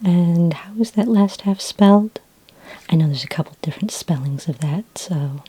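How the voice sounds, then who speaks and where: A woman speaking in a soft, breathy whisper as a friendly, patient librarian in a gentle ASMR roleplay. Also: lowish voice, slight background noise. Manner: gentle, unhurried, helpful customer-service patter. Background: computer keyboard typing.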